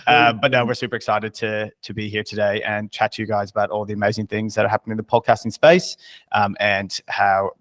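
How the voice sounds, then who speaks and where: An Irish man speaks in a low register with very little rise and fall in his voice. He introduces himself at a business webinar in a relaxed, self-deprecating way. The sound is close and dry. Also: fairly quick, no room sound. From Ireland. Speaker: key account director. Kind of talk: webinar presentation.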